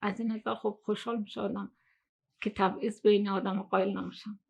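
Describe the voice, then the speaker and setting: Rapid, flat-voiced Afghan woman. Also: mid-range voice, gravelly, slightly rough. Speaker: interviewee. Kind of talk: documentary interview.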